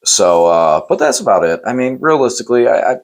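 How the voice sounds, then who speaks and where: A man hosting a casual post-game chat, hoarse and losing his voice, talking in rambling, self-correcting runs and teasing his co-host. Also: medium pitch, very animated, a little fast. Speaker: channel host.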